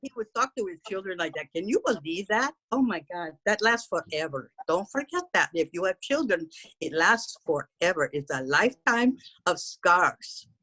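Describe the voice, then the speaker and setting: A woman's voice: on the low side, expressive, normal speed, sharp tone, low-quality recording. A woman voicing a brash, gossipy middle-aged housekeeper, talking bluntly in broken English. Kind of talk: character stand-up comedy.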